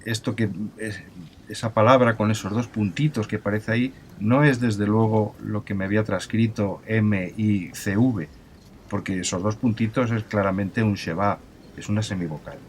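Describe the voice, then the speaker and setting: A man acting as an amiable scholar of biblical languages in an audio drama, with a lowish voice. He explains emphatically and formally, circling back and restarting his phrases, with faint background noise around him. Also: fairly even intonation, normal speed, natural voice. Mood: explaining, certain. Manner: hesitant restarts, emphatic, didactic, formal address. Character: amiable male professor impassioned by ancient languages. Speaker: university professor of biblical languages. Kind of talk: naturalistic expert explanation, recorded interview.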